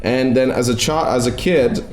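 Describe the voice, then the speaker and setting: A young man from Latvia, chatting on a podcast in a curious, animated way. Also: medium pitch, moderate pace, roomy sound, soft background music. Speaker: podcast co-host, actor. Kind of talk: casual chat.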